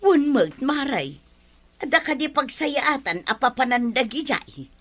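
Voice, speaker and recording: A woman's voice: high-pitched, sing-song, unhurried, fairly bright tone, slight background noise, exaggerated voice. A woman with an Ilocano accent playing a chatty, nosy village woman in a comedy drama. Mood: gossipy.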